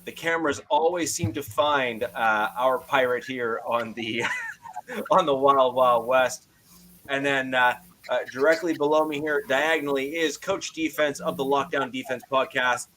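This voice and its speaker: A man hosting a casual sports podcast over a video call, loud-voiced and loose, filling his sentences with "uh". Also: mid-range voice, a little slow, low-quality recording. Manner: loose, filler-heavy, frequent "uh". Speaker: podcast host.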